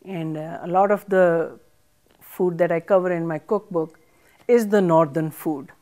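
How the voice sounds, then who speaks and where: A woman's voice: on the low side, unhurried, warm tone. An older woman from Pakistan, a chef and author, answering interview questions thoughtfully with frequent fillers, on a studio set with a lapel mic. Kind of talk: interview conversation. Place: studio set with armchairs and plants.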